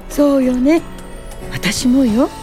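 An actress playing a resolute, compassionate female social worker and mentor in a radio drama, earnest and gently indignant. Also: high-pitched, slow. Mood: gentle resolve. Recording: studio radio drama with music underneath.

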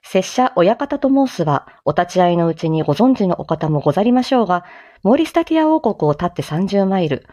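A woman reciting a theatrical Japanese-style sales-pitch monologue in a declamatory, sing-song way with playful touches. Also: sing-song, fairly quick, mellow. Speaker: amateur voice drama performer, streamer. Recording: slightly dull lo-fi microphone sound.

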